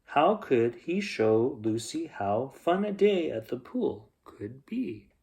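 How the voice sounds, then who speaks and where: A man reading a children's bedtime story aloud, expressively, with dramatic emphasis and pauses. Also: medium pitch, sing-song, vocal fry, darkish tone.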